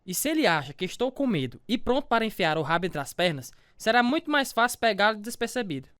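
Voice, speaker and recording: An actor playing a young scrawny boxer in a radio drama, politely mock-submissive yet quietly cocky. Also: male voice, high-pitched, very animated, fast talker, clean tone, no room sound, studio quality. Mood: sly, confident.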